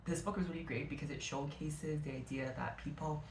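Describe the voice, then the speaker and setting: A woman's voice: low voice, steady tone, a little fast, a little mellow, a little hiss or hum. Gushing woman reviewing books. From Canada. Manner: gushing, rambling, self-correcting. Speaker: book vlogger. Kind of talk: casual book review to camera. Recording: echoey room.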